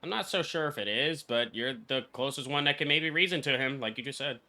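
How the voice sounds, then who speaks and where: A man streaming a video game with casual, blunt, excitable, foul-mouthed reaction commentary. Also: mid-range voice, fairly quick. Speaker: gaming streamer.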